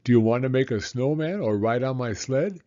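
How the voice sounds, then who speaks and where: Man playing an eager young bear, animated. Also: medium pitch, normal speed, highs cut off, natural voice. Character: friendly eager young boy bear pal. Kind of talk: children's storybook read-aloud.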